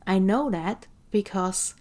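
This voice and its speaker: A woman language coach hosting a solo podcast, chatty and lively with playful asides; English is not her first language. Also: mid-range voice, takes it slowly. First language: non-English.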